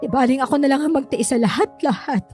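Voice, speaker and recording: Over soft music, an actress voices a middle-aged Filipina mother with a mellow, dark voice. She speaks as a weary, protective radio drama parent, pained and reluctant. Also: high voice, fast, lightly acted. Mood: firm, pained. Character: pained, self-sacrificing, protective mother of a grown son.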